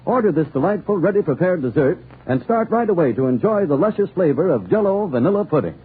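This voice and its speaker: A man's voice: high voice, very animated, fast talker. A man announcing on 1940s radio with a polished, theatrical, emphatic storytelling delivery. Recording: tinny, hissy old radio recording.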